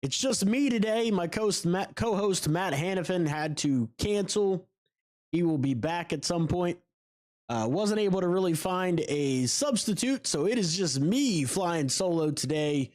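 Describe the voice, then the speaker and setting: A man with a stuffy, nasal voice from a cold talks through a solo podcast. His pitch sits a bit high and swings widely as he tosses out rhetorical questions. He fills pauses with uh and um. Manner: animated, rhetorical questions, frequent uh and um. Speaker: podcast host. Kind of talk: solo sports podcast monologue.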